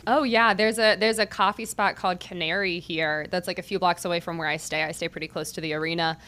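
A woman's voice: on the low side, fairly even intonation, fast talker, fairly bright tone. Chatty woman sports reporter. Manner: chatty, many "like" fillers and restarts. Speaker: sports reporter and podcast host. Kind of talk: podcast interview, casual chat.

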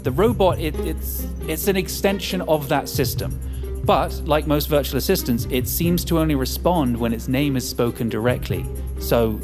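A man's voice: on the high side, moderate pace, over music, close to an everyday voice. An actor playing a suspicious, defiant man who argues and takes command of a trapped group in an audio fiction drama. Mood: urgent, plotting. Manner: argumentative, commanding, sarcastic.

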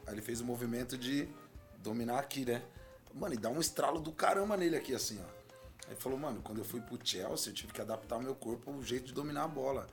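A man with a Brazilian accent, a singer, telling quick anecdotes in relaxed slang during a casual chat. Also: medium pitch, soft background music. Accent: Brazilian Portuguese. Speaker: singer, guest.

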